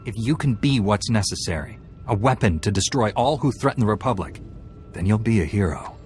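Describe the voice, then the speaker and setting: An actor playing a ruthless, self-righteous warrior knight in a video game, with a firm, commanding delivery. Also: male voice, on the low side, expressive, brisk, a little mellow, natural voice. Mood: righteous, cold. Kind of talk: video game hero acting. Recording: game music under the voice.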